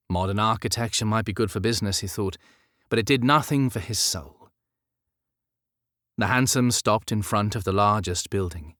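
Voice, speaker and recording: A man narrating historical romance fiction as an audiobook, in measured, expressive storytelling. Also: on the low side, no echo, studio quality.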